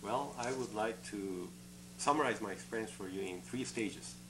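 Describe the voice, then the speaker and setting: A man's voice: on the low side, moderate pace, noisy background. Earnest middle-aged man, native Farsi speaker. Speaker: conference organizer, guest. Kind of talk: structured studio interview answer. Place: TV studio set, indoors.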